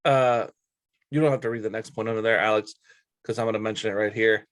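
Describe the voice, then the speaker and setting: A man's voice: fairly low pitch, fairly even intonation, fast talker, dry recording, studio quality. A man co-hosting a sports talk show, chatting casually in a blunt, informal, opinionated way.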